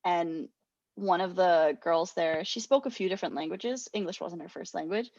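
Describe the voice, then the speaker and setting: A woman's voice: fairly low pitch, normal speed, darkish tone, no echo, lo-fi sound. A young adult woman co-hosting a podcast, telling a rambling, self-deprecating story with lots of laughter. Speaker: podcast co-host. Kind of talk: casual storytelling chat.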